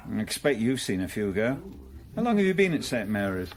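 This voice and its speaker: A calm, probing stranger. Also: male voice, lowish voice, a little fast, a little hiss or hum. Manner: smooth, probing questioning. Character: probing male outsider, persistent and calm. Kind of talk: radio drama acting.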